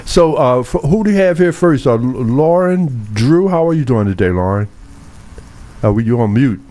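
A man's voice: mid-range voice, moderate pace. A man hosting a live call-in radio talk show, chatty and conversational, often restarting his words. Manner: conversational, repeats and restarts words, animated asides.

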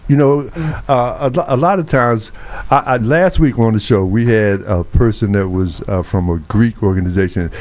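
A man hosting a live call-in radio talk show, chatty and conversational, often restarting his words. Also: mid-range voice. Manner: conversational, repeats and restarts words, animated asides.

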